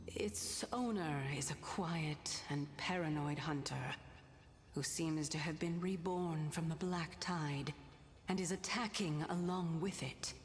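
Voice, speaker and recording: A serene hidden goddess, low and slow. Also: female voice, in a large hall. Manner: calm, gracious, measured. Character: ancient goddess in human disguise, serene, secretive. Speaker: game character. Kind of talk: video game voice acting. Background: game music.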